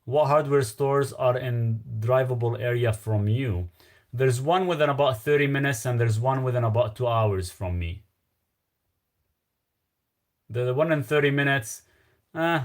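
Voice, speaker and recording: A man with a Lebanese accent hosting a casual live stream, reading viewer questions aloud and answering in a loose, rambling way. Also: mid-range voice. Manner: loose and rambling, trailing off with fillers.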